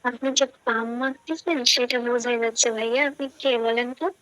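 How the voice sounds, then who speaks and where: A woman's voice: on the high side, steady tone, normal speed, fairly bright tone. A woman with a Bangladeshi accent in a casual phone conversation, guarded and full of questions. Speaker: call recipient. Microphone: phone call. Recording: dull lo-fi phone call audio.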